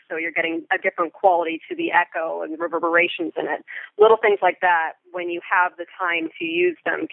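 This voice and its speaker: An American woman being interviewed as a Foley artist, explaining things thoughtfully and in detail, with halting pauses. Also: fairly low pitch, no room sound, narrow, tinny audio. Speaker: guest, theatre Foley artist. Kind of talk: interview conversation.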